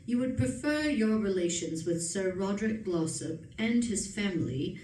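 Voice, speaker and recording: A woman playing a composed, formal male valet in a radio play, speaking in a measured, politely deferential way. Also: medium pitch, lively intonation, warm tone, close to an everyday voice. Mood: calmly deferential. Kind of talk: stage radio play acting. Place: live performance in a room, distant mic. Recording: roomy, distant, faint noise.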